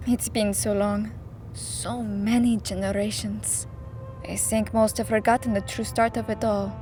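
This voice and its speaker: A woman's voice: medium pitch, flat delivery, measured pace, a little mellow, faint music behind, natural voice. A woman playing an anxious, protective middle-aged mother with earnest, emotional delivery in an audio drama. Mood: gentle, reflective.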